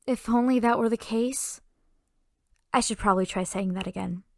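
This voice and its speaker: A woman playing a somber young village woman haunted by a massacre, in an earnest, halting audio drama delivery. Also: fairly high pitch, vocal fry, no echo, clean studio sound. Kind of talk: dramatic audio roleplay acting. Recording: music bed under the voice.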